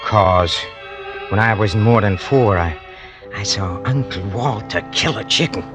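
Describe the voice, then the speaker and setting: An actor playing a bitter, cold-blooded hired killer who confesses in a brooding, halting way, in vintage radio drama style. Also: male voice, lowish voice, close to an everyday voice. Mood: brooding hatred. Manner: brooding, halting, repeats himself with menace. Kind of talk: 1940s-50s radio drama acting. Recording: old radio broadcast with music underneath.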